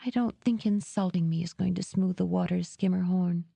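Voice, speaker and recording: A woman voicing a proud, quick-tempered young woman shopkeeper in an audiobook, with a raised, emphatic delivery. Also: fairly low pitch, steady tone, vocal fry, mellow, slightly rough, dry recording, heavily acted. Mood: defiant. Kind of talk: audiobook character reading.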